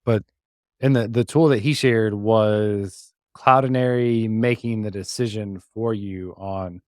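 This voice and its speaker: A man's voice: fairly low pitch, fairly even intonation, takes it slowly, a little mellow, no echo, clean studio sound. A man giving a casual, informal technical walkthrough in an explanatory way. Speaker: podcast co-host and developer demonstrating a project.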